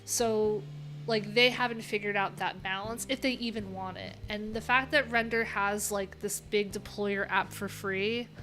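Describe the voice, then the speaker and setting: A woman's voice: medium pitch, steady tone, a little slow, pushed and bright, slight background noise, poor audio. A middle-aged woman chatting casually on a livestream, candid and rambling as she thinks aloud. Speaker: livestream host, developer. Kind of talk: casual livestream chat while browsing. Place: small cluttered room indoors. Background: music.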